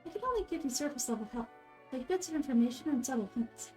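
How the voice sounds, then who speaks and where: Casting: woman to play a small child goddess for a game, high soft voice, gentle, wise, calmly explanatory. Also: quick pace, soft background music. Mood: calm, knowing. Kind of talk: video game character dubbing.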